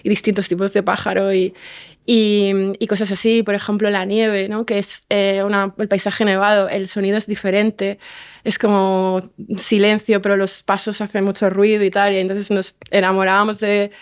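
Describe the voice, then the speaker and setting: A woman's voice: mid-range voice, flat delivery, normal speed, smooth. A woman talking casually as an interview guest, informal and often seeking agreement with little tag questions. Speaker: guest, fiction podcast writer.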